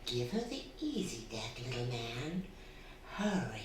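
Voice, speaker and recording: A woman playing a sinister, taunting undead female spirit in a radio drama, with a coaxing, theatrical, drawn-out delivery. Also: extremely low pitch, very animated, full character voice. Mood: taunting, urging. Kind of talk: stage radio drama acting. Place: theatre stage before a live audience. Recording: very echoey hall, distant, faint noise.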